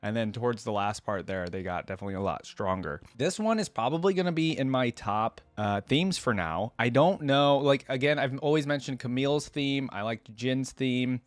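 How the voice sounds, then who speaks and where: A man's voice: mid-range voice, animated, normal speed, hardly any pauses, no room sound. A man giving casual, conversational reaction commentary in an informal, enthusiastic way. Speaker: reaction video host. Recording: music playing behind the voice. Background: song being reacted to.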